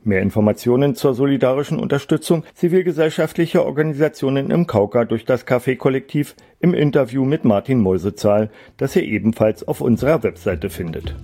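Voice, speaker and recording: Mellow male radio presenter. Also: medium pitch, lively intonation, brisk, hardly any pauses. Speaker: radio magazine presenter and interviewer.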